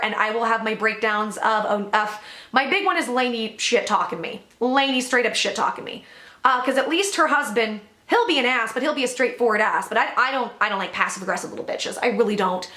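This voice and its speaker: A woman hosting a commentary vlog update, blunt, profane and emphatic, with a loud voice that sounds worn from overuse. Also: on the high side. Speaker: commentary channel host. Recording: echoey room.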